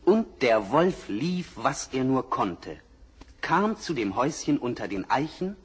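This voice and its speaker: A man narrating a fairy tale for an old record, measured and expressive. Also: medium pitch, slightly rough. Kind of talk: storybook narration. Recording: vinyl record, faint hiss.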